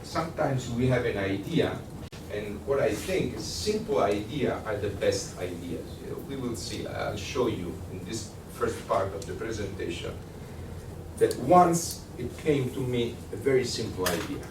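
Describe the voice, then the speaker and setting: Low-voiced italian guy giving a workshop lecture, lots of uhs, sounds far off and noisy. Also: lively intonation. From Italy. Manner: conversational, halting, with many fillers and restarts. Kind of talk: workshop lecture with audience questions. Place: lecture room. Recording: echoey room, distant mic, noisy.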